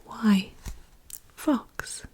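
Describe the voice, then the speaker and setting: Small clever male mouse, storybook, hushed whisper, unhurried, woman reading. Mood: sly, calm.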